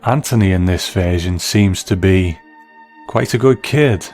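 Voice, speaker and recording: Low-voiced man narrating over music. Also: measured pace, darkish tone. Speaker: narrator reviewing films and TV. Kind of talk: scripted video essay narration.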